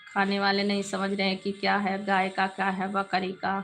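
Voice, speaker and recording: Hoarse woman greeting live viewers. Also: mid-range voice, monotone, moderate pace, soft background music. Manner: reads out viewer names and greets them warmly. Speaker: live stream host. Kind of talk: casual live chat reading comments.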